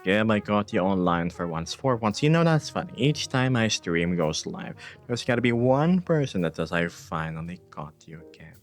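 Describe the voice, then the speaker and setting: A low-pitched man chats on his live stream in a relaxed, playful way. His voice swings widely between quiet, breathy asides and bright, eager bursts. Faint music plays underneath. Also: normal speed, hardly any pauses. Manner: laid-back and playful, chuckling, shifting between hushed asides and upbeat bursts. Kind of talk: casual live-stream chat, reading viewer messages aloud.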